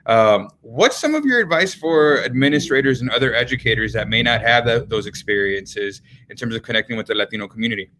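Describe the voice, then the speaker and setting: A man's voice: medium pitch, animated, brisk, hardly any pauses, bright, forceful tone, a little hiss or hum. A man hosting a live-streamed interview, talking in a friendly way with many ums and repeated words. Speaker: interview host.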